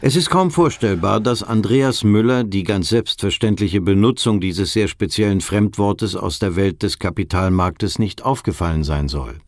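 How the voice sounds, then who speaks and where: An actor playing a formal, pedantic documentary narrator who delivers scripted, academic-sounding text matter-of-factly. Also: male voice, on the low side, normal speed, few pauses, no room sound, studio quality, natural voice. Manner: formal, matter-of-fact, precise. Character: pedantic, dry male essayist-lecturer.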